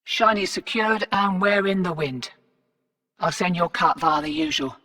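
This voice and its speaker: A woman's voice: medium pitch, measured pace, pushed and bright, big-room sound. An actress voicing a cocky female rogue fortune hunter and arms dealer in a video game, cheeky, slangy and sardonic. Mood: breezy. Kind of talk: video game character voice lines.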